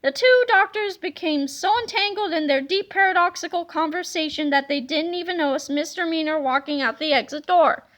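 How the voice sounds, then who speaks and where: A woman reading a children's book aloud with lively, expressive storytelling. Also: extremely high pitch, a little fast, few pauses. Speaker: read-aloud narrator.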